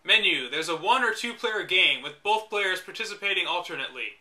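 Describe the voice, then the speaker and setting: Bright, sardonic man reading aloud. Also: high voice, expressive, fairly quick, low-quality recording. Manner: wry, exasperated asides. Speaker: reader and commentator. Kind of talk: reading a book aloud with sarcastic commentary.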